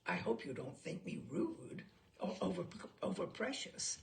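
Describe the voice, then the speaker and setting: Casting: woman as fussy, self-satisfied male expert in comic story reading; measured, declamatory, creaky. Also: lowish voice, big pitch swings, a little hiss or hum, full character voice. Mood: pedantic, proud. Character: pompous, fussy male cliché expert witness, proudly precise. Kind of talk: humorous short story read aloud.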